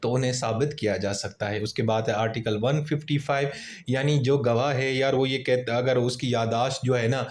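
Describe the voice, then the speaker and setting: A man with a Pakistani accent teaches in the manner of an informal lecturer. His voice sits at an average pitch for a man. He explains things conversationally, leaning on everyday examples and imagined exchanges. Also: fairly even intonation, moderate pace. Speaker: law lecturer.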